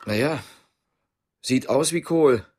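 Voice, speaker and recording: A man's voice: medium pitch, slow, slight background noise, natural voice. An actor playing a reserved, laconic man in a radio drama, speaking tersely and hesitantly in a naturalistic way. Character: reserved, laconic East German man hiding a fugitive woman.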